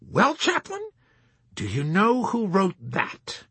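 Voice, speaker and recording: A sneering army colonel, put on by a man, with a husky voice pitched high in mock sweetness, drawing out each taunt. It leaps around in pitch, and the sound is dry and close. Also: huge pitch swings, fairly bright tone, no room sound, heavily acted. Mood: sarcastic. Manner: scornful, taunting, drawn-out sarcasm. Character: sarcastic, superior male army colonel interrogator.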